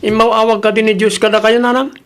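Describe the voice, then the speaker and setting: A man's voice: sits high, little pitch movement, fast, over loud music, close to an everyday voice. A man acts a penny-pinching, demanding husband in an Ilocano audio drama. He has an Ilocano accent and a complaining, controlling way of talking. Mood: anxious. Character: stingy, demanding husband Daniel, turning anxious.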